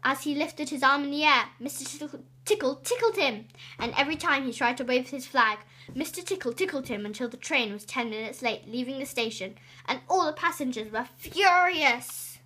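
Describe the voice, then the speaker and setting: Woman, high-pitched, husky edge, expressive children's read-aloud, drawn-out key words. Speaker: storybook reader.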